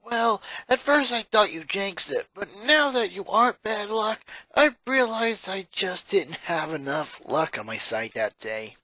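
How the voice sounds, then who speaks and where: A story reader voicing a cocky, hot-tempered sporty tomboy girl with a brash, forceful manner. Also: medium pitch, big pitch swings, unhurried, few pauses, dry recording. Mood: breezy.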